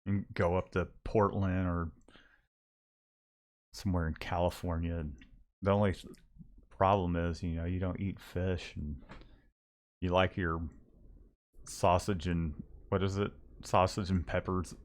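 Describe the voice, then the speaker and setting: A man's voice: deep voice, little pitch movement, unhurried, pauses often. A man with a Southern accent mixing Texas and Louisiana, chatting casually and rambling with lots of restarts. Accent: Southern US, Texas-Louisiana mix. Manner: rambling, many restarts and fillers. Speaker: screen printing shop owner, co-host. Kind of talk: casual livestream chat.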